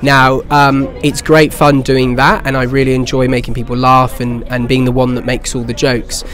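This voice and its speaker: A man's voice: medium pitch, little pitch movement, moderate pace, fairly bright tone. A man in his twenties from southern England with an English accent, answering interview questions casually as an actor. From Bournemouth, England. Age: 20s. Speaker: pantomime actor.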